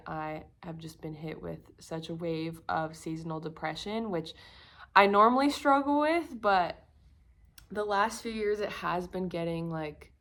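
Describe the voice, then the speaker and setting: Young woman vlogger, croaky with a cold, low-set voice, rambling and candid, loose filler-heavy talk. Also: fairly bright tone. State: croaky, slightly sick voice. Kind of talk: casual confessional talk to camera.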